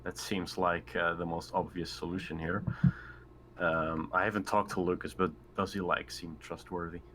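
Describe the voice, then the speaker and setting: A man's voice: sits low, flat delivery, normal speed, bright, forceful tone, a little hiss or hum. A man chatting casually as a player in a tabletop roleplaying game, talking over plans with the group.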